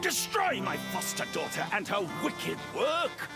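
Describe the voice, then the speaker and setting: Grandiose, high-pitched zealous paladin lord. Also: male voice, huge pitch swings, measured pace, exaggerated voice. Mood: rousing, commanding. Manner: pompous, grandiose battle oratory. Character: zealous, self-righteous male paladin lord, vengeful father. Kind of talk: theatrical video game voice acting. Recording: loud music under the voice.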